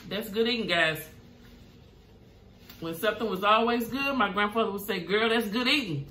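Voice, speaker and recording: A woman's voice: mid-range voice, moderate pace, bright, forceful tone, reverberant, slight background noise, rough recording. A middle-aged woman with a Southern US accent hosting an eating show at home, humming over her food between chatty remarks and reading viewer comments aloud. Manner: chatty, savoring food with hums between bites. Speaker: mukbang host. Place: home room at a table.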